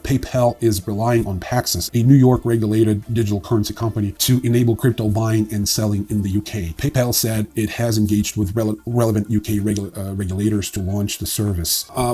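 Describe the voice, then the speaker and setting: A man hosting a casual news roundup in an offhand, wry way, with a husky voice and frequent hesitations. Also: fairly low pitch, warm tone. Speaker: newsreel host. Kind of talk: casual crypto news commentary, partly read.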